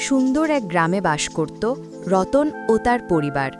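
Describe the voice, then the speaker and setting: A woman's voice: mid-range voice, very animated, moderate pace. A woman narrator reading a scripted storybook-style tale. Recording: loud music, rain and thunder behind.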